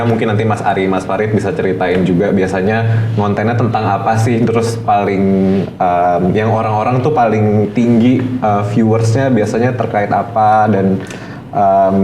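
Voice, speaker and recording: Low-voiced man gently prompting guests. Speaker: podcast co-host. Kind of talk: casual podcast chat. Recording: echoey room with faint noise.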